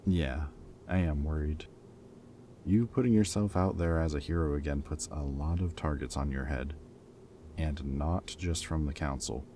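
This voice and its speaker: Ex-villain for roleplay audio drama, male actor: extremely low pitch, casual wry banter, protective friend with a teasing streak. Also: dark tone, slight background noise. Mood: worried, caring. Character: teasing, caring male ex-villain planning with old hero friend.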